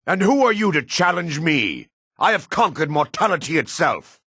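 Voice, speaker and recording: An actor playing a proud, hard-edged male dark elf in a fantasy game, with a husky voice and a cool, self-assured manner. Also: sing-song, moderate pace, no room sound, studio quality, heavily acted. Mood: haughty, defiant. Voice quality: husky, low. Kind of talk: fantasy game character lines.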